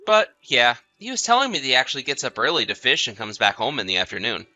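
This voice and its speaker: A man's voice: mid-range voice, big pitch swings, quick pace, sharp tone, faint music behind. A man playing an easygoing, sociable husband in chatty, casual audio drama dialogue. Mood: easygoing, animated. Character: easygoing husband, sociable, placating, mildly defensive.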